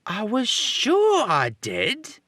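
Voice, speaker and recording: A jumpy male ambulance attendant from an old radio serial. The voice is very high and sharp, swinging up and down as it stammers. He sounds easily spooked and drags out his words. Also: wildly swooping pitch, drawn-out words, dry recording, studio quality, full character voice. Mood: uneasy. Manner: stammering, dragged-out alarmed delivery.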